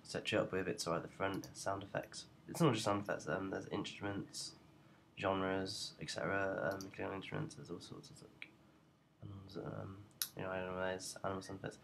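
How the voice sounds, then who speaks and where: Low, gravelly young man explaining software. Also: steady tone, fairly quick. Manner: hesitant, rambling, unscripted. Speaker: tutorial presenter. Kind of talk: informal software screencast tutorial. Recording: noticeable background noise.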